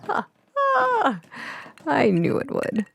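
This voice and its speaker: A woman's voice: high-pitched, wildly swooping pitch, slow pace, creaky, a bit bright, pitched above the performer's own voice, full character voice. A woman voicing a kindly, cheerful older woman in an audiobook story, folksy and affectionate in manner. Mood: amused, fond. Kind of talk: audiobook character dialogue.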